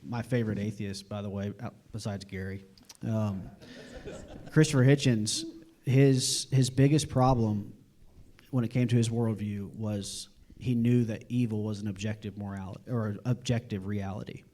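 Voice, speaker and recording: Mellow, low-voiced man making an argument. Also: steady tone, moderate pace. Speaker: panelist arguing for objective morality. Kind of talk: unscripted debate argument.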